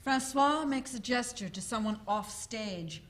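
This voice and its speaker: A woman's voice: on the high side, lively intonation, a little slow. A woman reading stage directions as scripted narration for a play.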